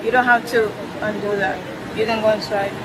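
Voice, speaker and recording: A woman's voice: mid-range voice, little pitch movement, brisk, over a noisy crowd, very noisy. A woman under arrest giving terse replies to police.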